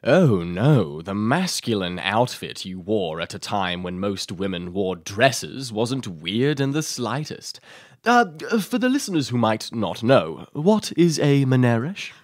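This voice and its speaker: A man's voice: fairly low pitch, big pitch swings, a little slow, no room sound. A man playing a wry, sardonic storyteller narrating an audio drama. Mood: teasing. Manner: dry, sardonic. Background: music.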